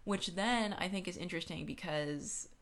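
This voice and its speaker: Slightly low-voiced woman, casual podcast co-host. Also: normal speed. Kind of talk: casual book discussion.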